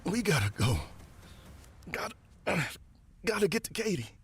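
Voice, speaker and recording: An actor playing a badly wounded, stubborn fighter in a horror audio drama, halting and strained, with a raspy voice. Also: medium pitch, very animated, faint music behind. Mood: pained, stubborn. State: strained, weak from injury in performance.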